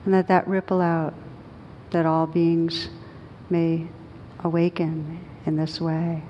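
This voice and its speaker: Low-voiced woman gently leading a meditation. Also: flat delivery, lo-fi sound. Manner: gentle, unhurried, with long pauses. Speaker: meditation teacher. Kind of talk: guided meditation led for a group. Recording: clearly noisy with low rumble.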